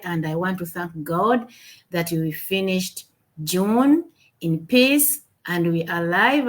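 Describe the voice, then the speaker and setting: Ugandan-born woman hosting a livestream, chatty, lots of uh and repeats, home mic. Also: lowish voice. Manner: upbeat, repeats words, frequent fillers. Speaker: webinar host. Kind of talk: casual livestream chat. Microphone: home computer mic on a video call.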